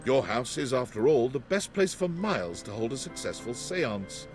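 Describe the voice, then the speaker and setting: An actor reading the ending narration of a video game in a measured, expressive way. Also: male voice, mid-range voice, close to an everyday voice. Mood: tender. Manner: measured, expressive delivery with lingering emphasis. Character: calm adult male storybook narrator. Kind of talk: scripted epilogue narration. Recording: game audio over loud music.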